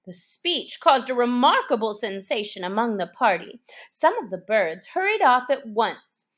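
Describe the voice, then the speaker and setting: A woman storyteller with a high voice and lively melody. She narrates a children's story expressively and takes her time. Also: a little slow.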